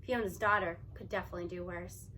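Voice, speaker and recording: A woman reading a story aloud in expressive first-person narration, with animated delivery and emphatic stresses. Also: lowish voice, quick pace, slight background noise, poor audio.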